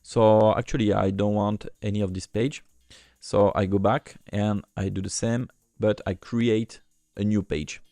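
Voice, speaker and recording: Low gravelly man. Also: fairly even intonation, measured pace, mellow, no echo. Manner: hesitant, many fillers and restarts. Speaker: software demo presenter. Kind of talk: screen-recorded software tutorial.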